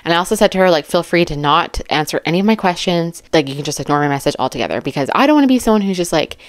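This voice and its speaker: A woman commentator talks casually and emphatically, as if chatting straight to her viewers. Her voice sits a little low, and she rambles in an animated, informal way. The sound is dry, with very little room to it. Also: very fast, dry recording. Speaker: true crime commentary YouTuber.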